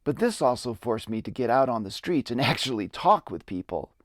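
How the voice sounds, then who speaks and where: A middle-aged man giving a dramatic reading of his own memoir in deliberate, theatrical storytelling. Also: mid-range voice, sing-song. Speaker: narrator and videographer. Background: music bed.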